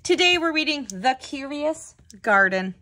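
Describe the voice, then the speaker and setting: A woman hosting a children's read-aloud as a tutor, telling the story with clear, expressive phrasing. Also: high voice, a little slow.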